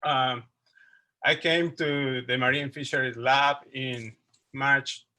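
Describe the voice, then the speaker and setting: A young man with a Mexican Spanish accent presents over a computer microphone on a video call. His voice is bright and forward. He speaks informally, restarts his sentences often, and stops to fix his wording. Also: mid-range voice. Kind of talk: casual slideshow talk over video call. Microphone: computer mic on a video call.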